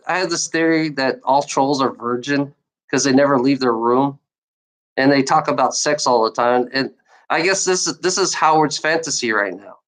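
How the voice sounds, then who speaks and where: A woman's voice: extremely low pitch, poor audio. A woman streamer who stutters, ranting at her chat in a rambling, repetitive way with frequent restarts and swearing. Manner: repetitive, restarts phrases, profane. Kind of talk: rambling livestream rant at chat trolls.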